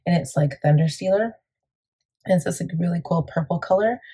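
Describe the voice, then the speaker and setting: Beauty vlogger, female, deep and husky, warm mellow tone, chatty and meandering. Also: brisk. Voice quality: gravelly, deep. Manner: chatty, rambling, many fillers. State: morning voice, a bit crackly. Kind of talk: casual makeup tutorial chat.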